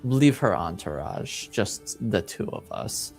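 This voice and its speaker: A man playing a courteous, deliberate Duke in a tabletop game, speaking formally. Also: sits low, wildly swooping pitch, vocal fry, darkish tone, soft background music, pitched below the performer's own voice, exaggerated voice. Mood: considered. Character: measured, trusting noble Duke, an uncle.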